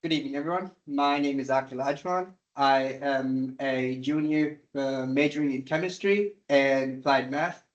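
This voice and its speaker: A man in his twenties from Sri Lanka, speaking as a student candidate and pausing often with "uh". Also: medium pitch, fairly even intonation, muffled recording. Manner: halting, frequent "uh" fillers. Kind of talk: candidate introduction and answer.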